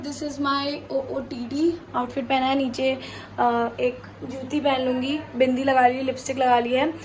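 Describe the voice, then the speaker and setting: A woman's voice: high voice, slight background noise, low-quality recording. A young woman vlogger opening her video in a chatty way, mixing Hindi and English. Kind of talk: vlog intro to camera.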